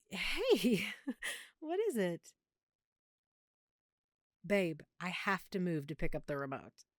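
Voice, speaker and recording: A woman playing a lovesick, guilt-ridden vampire girlfriend in an intimate audio roleplay, speaking tenderly and confidingly with dramatic pauses. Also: mid-range voice, dry recording, clean studio sound. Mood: playful, affectionate. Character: lovesick, guilt-ridden female vampire hiding her nature from boyfriend.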